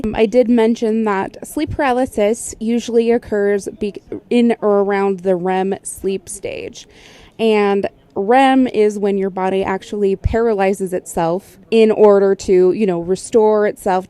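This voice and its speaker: A woman's voice: medium pitch, warm tone. A woman hosting casual, explanatory commentary in a conversational way, like a specialist breaking things down. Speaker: host, sleep technologist.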